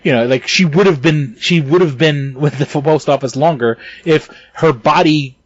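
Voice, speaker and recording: A man on a casual podcast, pitched a little higher than most men, swearing freely as he gets worked up. He trips over his words and restarts, and the sound is dry and dull like a lo-fi home recording. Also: normal speed, dry recording. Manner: heated and profane, stumbling restarts, bursts of laughter. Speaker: podcast co-host.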